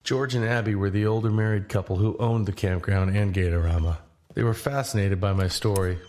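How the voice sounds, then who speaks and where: A man's voice: on the low side, fairly even intonation, a little fast, darkish tone, natural voice. An actor playing an easygoing, weary cross-country walker, narrating his journey in a first-person audio drama. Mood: reflective, matter-of-fact. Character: easygoing weary man walking across the country. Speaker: narrator and lead character.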